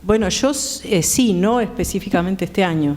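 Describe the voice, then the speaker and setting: Female panel guest, Rioplatense accent, halting informal explanations, reverberant theatre acoustics. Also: mid-range voice, expressive, darkish tone. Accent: Rioplatense Argentine. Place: theatre, live conversation.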